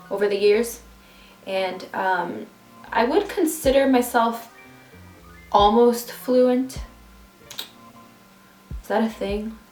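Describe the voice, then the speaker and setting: Chatty young woman in a roomy room. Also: fairly high pitch. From New York City. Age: 20s. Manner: chatty and rambling, with asides. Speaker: vlogger. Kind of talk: casual get-to-know-me vlog. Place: at home, indoors. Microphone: phone camera. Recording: roomy room with faint background music.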